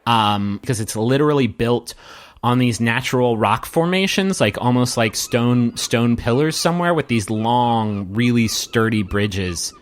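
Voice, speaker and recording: Man narrating as a halting game master. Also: medium pitch. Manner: halting, searching for words, addressing the player directly. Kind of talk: improvised tabletop game narration.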